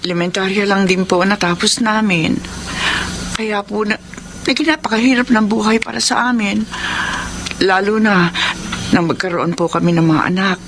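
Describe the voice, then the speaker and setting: A woman playing Choleng, a poor, grieving mother in a radio drama, speaking softly and politely. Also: mid-range voice, fairly even intonation, moderate pace, long pauses, noisy background, close to an everyday voice. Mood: sorrowful. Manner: pleading, sorrowful, polite.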